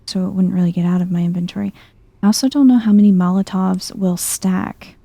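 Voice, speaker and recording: A soft-spoken woman giving chill ASMR video game commentary, musing to herself as she plays. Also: mid-range voice, steady tone, a little fast, gravelly, no room sound.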